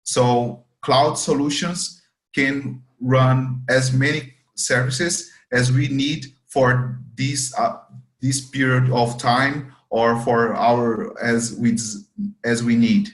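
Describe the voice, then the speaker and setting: A man's voice: mid-range voice, steady tone, slow pace, echoey room, poor audio. A man whose first language is Portuguese, a student data engineer answering interview questions carefully, repeating words as he searches for them. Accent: Portuguese-speaking.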